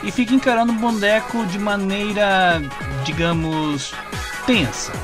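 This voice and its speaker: A man's voice: high-pitched, measured pace. Brazilian man narrating over music. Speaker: narrator of comic story summaries. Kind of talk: scripted narration, retelling a story. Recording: music clearly playing behind the voice. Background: background music.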